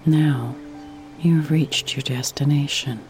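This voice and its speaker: A woman narrating a guided sleep meditation in a soft, breathy, soothing voice that nears a whisper, with long pauses. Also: sits low, steady tone. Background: faint music.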